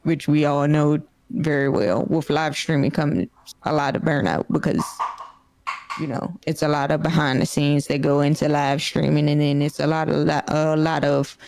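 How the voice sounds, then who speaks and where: A woman in her late twenties, a streamer guest, explaining her work in a measured way with many fillers. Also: sits low, steady tone, creaky, darkish tone. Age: 20s. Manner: measured, explanatory, full of "you know". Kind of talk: casual interview chat.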